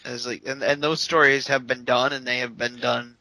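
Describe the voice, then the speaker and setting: A man's voice: mid-range voice, flat delivery, moderate pace, pushed and bright, dry recording, rough recording. A man co-hosting a casual gaming podcast, opinionated and long-winded, often restarting his sentences. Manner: opinionated, talks at length with frequent restarts. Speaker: podcast co-host.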